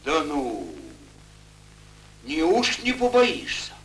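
An actor playing a fussy, imperious old tsar in a 1940s fairy-tale cartoon. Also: male voice, a little high, wildly swooping pitch, extremely slow, a bit bright, slight background noise, full character voice, poor audio. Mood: doubtful, regal. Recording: old 1940s film soundtrack.

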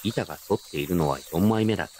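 A man's voice: deep voice, fast, dark tone, slight background noise, close to an everyday voice. A man playing a wry, nostalgic grown grandson, telling his story casually and reflectively in a radio drama. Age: adult. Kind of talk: first-person radio drama narration and dialogue.

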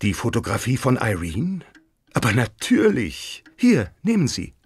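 A man's voice: medium pitch, huge pitch swings, a little slow, breathy edge, no echo, exaggerated voice. An actor playing a proud, effusive king in a German audio drama, speaking in a grand, courtly way. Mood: obliging.

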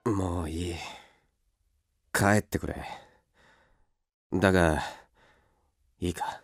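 An actor in a radio drama playing a coarse, hot-tempered older brother who is fiercely protective. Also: male voice, sits low, fairly even intonation, slow pace, no echo, heavily acted. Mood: weary, subdued. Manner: coarse, blunt rough speech. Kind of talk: Japanese radio drama acting.

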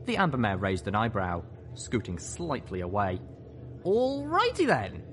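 A man's voice: fairly low pitch, sing-song, moderate pace, a bit bright, slight background noise. A man reading a scripted first-person story as its narrator. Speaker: narrator and host.

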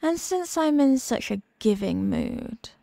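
A woman's voice: high-pitched, animated, vocal fry, dark tone, no room sound, heavily acted. A woman voicing a condescending, sadistic and possessive demon queen who mocks the human listener in a scripted ASMR roleplay. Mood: smug, possessive. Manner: condescending, mocking, deliberate and teasing.

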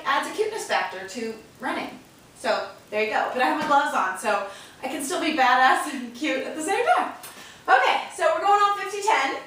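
Croaky-voiced woman in echoey garage. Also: high-pitched, pushed and bright, recorded from a distance. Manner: chatty, upbeat, counts reps while exercising. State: croaky from a cold. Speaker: fitness vlogger. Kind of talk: casual workout vlog with coaching. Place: large garage with metal door. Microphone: far camera.

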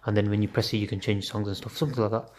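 Guy unboxing stuff on his phone at home late at night, flat offhand delivery, swears a bit. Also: fairly low pitch, very fast. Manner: offhand, swears casually, thinks aloud. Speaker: unboxing video host. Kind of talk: casual unboxing chat. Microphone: phone camera filming hands on a table.

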